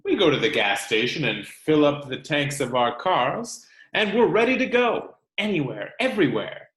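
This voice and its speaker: A man reading a book aloud to children like a teacher, expressive and instructional, pausing to explain. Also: a little high. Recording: roomy, echoing sound.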